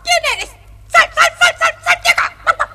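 An actress playing a bossy, boastful hen in an audio storybook, scolding and squawking. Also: female voice, extremely high pitch, a little slow. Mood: hostile. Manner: scolding, stuttering clucks when indignant. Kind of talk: audio storybook character acting. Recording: very noisy vintage storybook recording.

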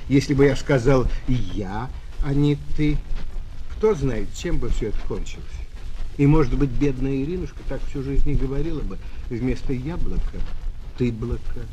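Male actor as exasperated tutor-narrator for a vintage children's radio play; lively, theatrical, animated pitch, noisy vinyl sound. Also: lightly acted. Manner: expressive, emphatic, fussily exasperated. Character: exasperated adult tutor of a little girl, narrating. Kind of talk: theatrical children's storytelling, Soviet audio play. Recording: noisy 1970s flexi-disc record.

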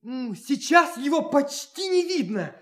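An actor playing a young fiancé in a radio play, deferential, polite and eager to please. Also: male voice, very high-pitched, big pitch swings, unhurried, fairly bright tone, full character voice. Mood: excited. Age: young adult.

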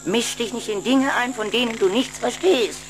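A woman's voice: fairly high pitch, lightly acted. A woman playing a haughty, scolding vampire aunt in a German children's audio play, with stern, theatrical delivery. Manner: theatrical, stern, drawn-out pronouncements. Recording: music behind the voice.

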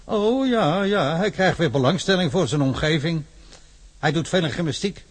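Husky, coaxing prison warder. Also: male voice, fairly high pitch, expressive, normal speed. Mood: reassuring. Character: patient, coaxing, fatherly male prison warder. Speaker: actor in a radio drama.

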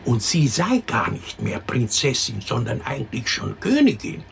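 An actor in a radio drama playing a storyteller who patiently tells a child a princess fairy tale, expressive and explanatory. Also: mid-range voice, normal speed, vocal fry, full character voice. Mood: patient storytelling. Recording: noticeable background noise.

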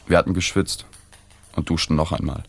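A man's voice: a bass voice, steady tone, brisk, creaky, breathy edge, dry recording, full character voice. An actor playing a subdued, awkward male journalist who narrates a radio play in an understated, detached way. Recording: music behind the voice.

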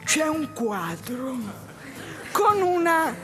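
Lonely melancholy man, high-voiced stage monologue. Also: sing-song, exaggerated voice. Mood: excited, indignant. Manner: slow, halting phrases with pauses. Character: lonely melancholy jobless man, dreamy and lost. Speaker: stage actress. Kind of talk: theatrical monologue. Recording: music behind the voice.

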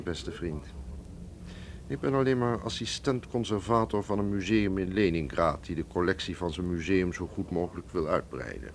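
An actor in a radio drama playing a shrewd, suave museum curator, measured and condescending. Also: male voice, deep voice, a little hiss or hum. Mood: smooth, warning. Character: shrewd, suave Russian male museum curator, quietly threatening.

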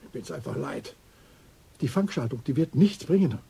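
A man's voice: medium pitch, expressive, moderate pace, gravelly, a crowd in the background. An actor playing a grumbling, agitated, resentful man in a radio crime drama. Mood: fed up, nervous. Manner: grumbly, indignant. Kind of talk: German radio drama acting.

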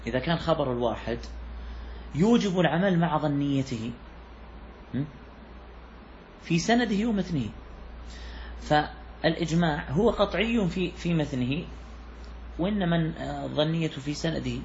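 A man's voice: a little high, lively intonation, moderate pace, pauses often, darkish tone. Guy teaching a fiqh text to students, keeps repeating himself and asking the room, dull muffled audio with a bit of hiss. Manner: repeats key phrases, poses questions to students. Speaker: sheikh teaching Islamic jurisprudence. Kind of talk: classroom lecture explaining a text.

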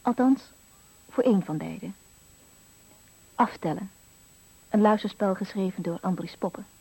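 A woman narrating a scripted radio thriller in a measured, ominous manner. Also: mid-range voice, creaky, warm tone, slightly rough, no room sound, rough recording. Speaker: announcer narrator.

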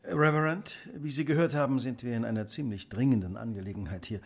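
An actor playing a brisk, courteous, intense gentleman detective in a German radio play. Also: medium pitch, lightly acted. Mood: courteous, probing. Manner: courteous, urgent, incisive.

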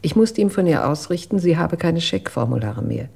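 Radio drama: composed housekeeper witness, plain-spoken woman, raspy with vocal fry. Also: low-pitched, very animated, normal speed, darkish tone, exaggerated voice. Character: matter-of-fact female household employee with a weak heart.

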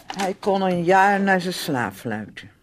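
A man's voice: high voice, sing-song, slow, noisy background, full character voice. An actor in a radio drama playing a fussing, gossipy older man with a fretful, insinuating manner. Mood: fussing, cautioning.

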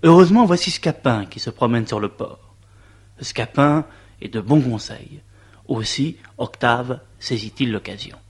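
A man narrates a scripted introduction to a stage comedy as a storyteller. His voice sits at a medium pitch and has a husky grain. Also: a little slow.

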